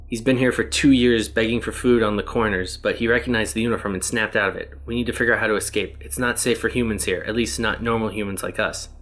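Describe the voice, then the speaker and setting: A man voicing a weary male test subject stranded for ages in another world, read out in an even, plain reading voice. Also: on the low side, fast, hardly any pauses, natural voice.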